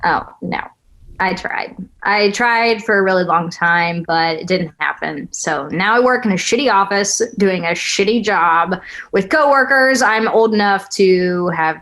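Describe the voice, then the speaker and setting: A woman's voice: on the high side, fairly bright tone. A woman acting in a table read as a jaded, blunt, wistful single woman on a date. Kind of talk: conversational script reading.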